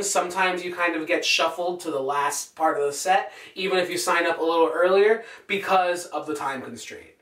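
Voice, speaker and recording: Young guy chatting performance tips to camera, pretty high voice, roomy sound, mic kinda far. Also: fairly bright tone. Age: young adult. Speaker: poet giving stage performance tips. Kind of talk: casual instructional vlog talk to camera. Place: small bare-walled room indoors. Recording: echoey room, distant camera microphone.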